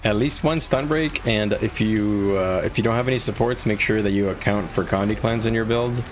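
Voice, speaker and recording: A man talking casually to viewers on a live gaming stream, explaining things in an informal, conversational way. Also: lowish voice. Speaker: game livestreamer. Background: music.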